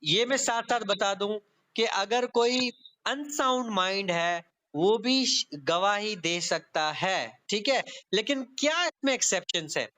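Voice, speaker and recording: A man's voice: high voice, lively intonation, takes it slowly, sharp tone. A man lecturing online on law, explaining step by step didactically and mixing English terms into his speech. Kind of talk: online exam-prep lecture, explaining and reading out clauses. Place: online meeting class.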